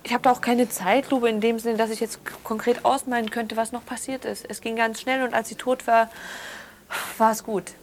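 An actress playing a detached woman confessing, speaking haltingly and matter-of-factly, with repetitions, in a naturalistic radio drama. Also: on the high side, flat delivery, a little hiss or hum, close to an everyday voice. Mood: detached, insistent.

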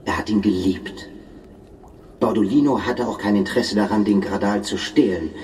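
A man's voice: fairly low pitch, moderate pace, a little mellow, far from the mic, a little hiss or hum, natural voice, low-quality recording. An actor playing a bitter, menacing poet who commands and accuses his comrades in a German radio drama. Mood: accusing, insistent.

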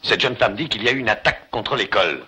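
A man's voice: lowish voice, animated, pushed and bright, breathy edge, exaggerated voice. A man dubbing a stern, insistent middle-aged man in a film. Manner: insistent, brisk. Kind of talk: dubbed film dialogue.

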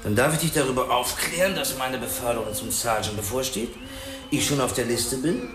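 An actor playing a cocky, provocative, self-assured police boxer in a crime radio drama. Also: male voice, medium pitch, expressive, brisk, music in the background, natural voice. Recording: echoey room, clear background noise.

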